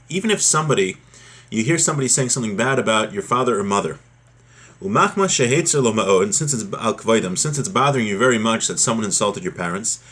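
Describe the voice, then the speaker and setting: A man with a medium-pitched, slightly rough and husky voice gives an informal religious lesson. He speaks as a teacher, in a conversational, explanatory manner. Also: a bit bright. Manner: conversational, explanatory, checks in with "right?". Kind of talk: informal religious lesson, reading a text aloud then explaining it.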